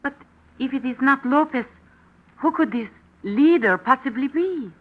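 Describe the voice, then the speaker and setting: A tinny, phone-like vintage radio recording carries an earnest, anxious heroine. Her pitch moves around expressively. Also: lively intonation, unhurried. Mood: questioning. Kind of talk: 1940s radio acting.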